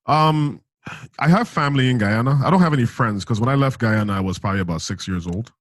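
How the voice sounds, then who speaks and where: A man's voice: mid-range voice, expressive, fast, no echo. A man in his thirties from Saint Lucia, hosting a podcast in a laid-back, slangy chat. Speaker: podcast host. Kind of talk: casual chat.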